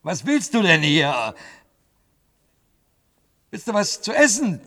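An actor in a German radio play, playing a brash, bossy but chummy worker with a blunt, colloquial way of talking. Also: male voice, sits high, huge pitch swings, takes it slowly, bright, forceful tone, no echo, clean studio sound, heavily acted. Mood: startled, loud. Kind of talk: German radio drama acting.

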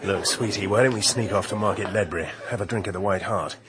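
An actor playing a scornful, arrogant young bohemian boyfriend in a radio drama, with a disdainful manner. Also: male voice, on the low side, fast talker, a loud crowd, lightly acted. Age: young adult.

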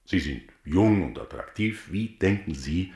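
A probing TV interviewer in a radio drama. His low male voice is formal and insinuating. It rings in an echoey studio. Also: very animated, a little slow, darkish tone, heavily acted. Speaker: television interviewer. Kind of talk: acted TV interview in a German-language radio drama. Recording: echoey television studio scene.

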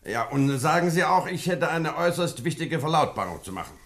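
A man's voice: a little high, sing-song, fairly quick. An actor playing an urgent, authoritative male government minister in a German radio play, formal and commanding.

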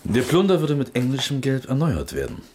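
An actor playing a sly, self-important Prussian court minister in a radio play, with formal, insinuating diction. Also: male voice, medium pitch, wildly swooping pitch, fairly quick, background noise. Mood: smug, scheming. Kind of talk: German radio play acting.

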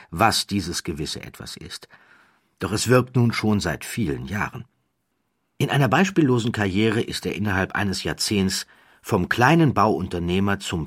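A man narrating a nonfiction audiobook in a measured, dramatic storytelling manner, recorded close in a studio. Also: deep voice, husky, dry recording.

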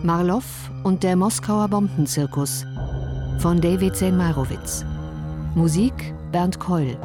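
A woman's voice: on the low side, slow, music in the background. A woman announcer reading scripted opening credits for a radio drama.

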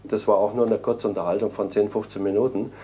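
A man's voice: fairly low pitch, steady tone, quick pace, mellow. A man from Munich, a property businessman in an interview, recounting events hesitantly with many restarts. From Munich, Germany.

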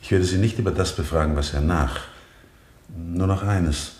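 A man's voice: low voice, normal speed, a little mellow, slight background noise, close to an everyday voice. A man acting an earnest, eloquent defense lawyer in a German radio play, speaking in solemn, emphatic courtroom oratory. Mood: gentle questioning. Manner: rhetorical, solemn, emphatic, clearly articulated. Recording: roomy studio courtroom echo of 1970 radio.

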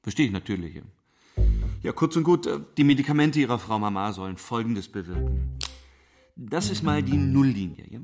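An actor in a radio drama playing an awkward psychiatrist who explains things didactically and hesitantly. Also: male voice, medium pitch, lively intonation, brisk, over music, lightly acted. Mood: awkward, explaining. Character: awkward, rambling male psychiatrist explaining to a patient.

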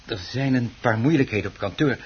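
An actor playing a meek, henpecked middle-aged husband in a Dutch radio play, hesitant, stammering and placating. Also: male voice, mid-range voice, expressive, fairly quick, breathy edge. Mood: resigned, placating. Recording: muffled, hissy old radio recording.

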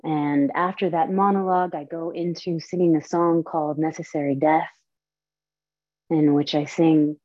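Young woman storyteller from Saudi Arabia, earnest and unhurried, lowish voice, dull dry recording. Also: steady tone, dark tone, dry recording. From Saudi Arabia and Palestine. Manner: earnest, slow, deliberate delivery. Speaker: storyteller and performer. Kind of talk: theatrical monologue and personal talk. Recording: dull, lo-fi audio.

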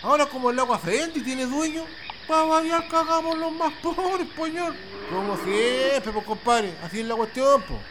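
A man's voice: extremely high pitch, moderate pace. A man playing an indignant, resigned farmer with a rural Chilean accent, grumbling in colloquial country talk in a radio play. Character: peasant farmer Juan, indignant and resigned, plain-spoken. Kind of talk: amateur radio play dialogue. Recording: outdoor ambience noise with birds and insects.

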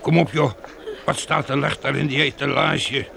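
A man's voice: on the high side, flat delivery, normal speed, gravelly, background noise. An actor playing a coarse, menacing, hungry blind man in a radio drama. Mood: demanding. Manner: coarse, bossy.

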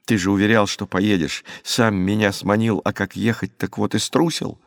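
A man's voice: on the low side, fairly even intonation, moderate pace, gravelly, dry recording, studio quality. A man voicing a bold, proud schoolboy adventurer in a storybook, speaking in a stern, demanding and declamatory way. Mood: scornful persuading.

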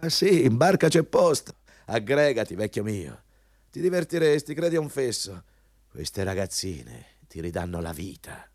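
An actor playing a cynical, vulgar, bullying company executive with a scornful, overbearing manner in a radio drama. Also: male voice, lowish voice, sing-song, moderate pace, darkish tone, no room sound, clean studio sound. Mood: chummy, boastful.

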